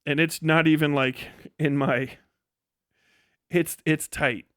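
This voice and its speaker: Clear-voiced, foul-mouthed man ranting casually. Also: fairly high pitch, steady tone, slow pace, fairly bright tone, no room sound, studio quality. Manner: heavily profane; sardonic, exasperated ranting. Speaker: livestreamer and video creator giving a work update. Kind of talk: casual rambling livestream chat.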